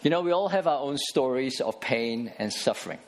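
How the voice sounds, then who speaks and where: A man's voice: mid-range voice, animated, normal speed. A man in his forties, a pastor giving a casual sermon in church with many fillers and restarts. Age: 40s.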